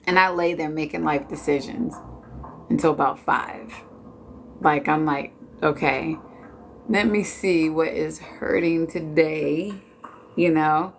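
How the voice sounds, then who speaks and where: A woman's voice: deep voice, steady tone, slow pace, a little hiss or hum. A woman in her late forties from Washington D.C., chatting casually and jokingly with viewers on a live stream. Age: 40s. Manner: chatty, laid-back, joking.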